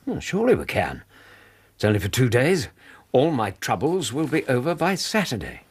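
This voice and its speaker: An actor playing a loyal Victorian doctor and companion in a radio drama, with an earnest, reassuring manner and a husky voice. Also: male voice, mid-range voice, wildly swooping pitch, moderate pace, exaggerated voice.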